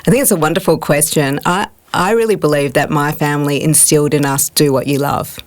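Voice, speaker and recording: A businesswoman from Melbourne with an Australian accent, a guest in a studio interview, speaking warmly and reflectively with fillers and restarts. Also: deep voice, brisk. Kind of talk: podcast interview.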